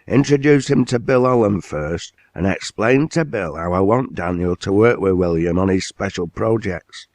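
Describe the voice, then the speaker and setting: A man's voice: deep voice, a little mellow, no room sound. A man doing a hearty Lancashire mill boss. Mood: commanding. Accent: Lancashire dialect. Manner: commanding, brisk, hearty. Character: blunt hearty older male mill owner.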